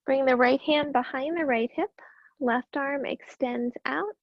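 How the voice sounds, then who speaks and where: Yoga teacher, female, high-pitched and raspy, gentle breath cues, dry and dull lo-fi sound. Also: unhurried, dry recording. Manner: calm, gentle cueing with breath. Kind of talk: guided yoga class instruction.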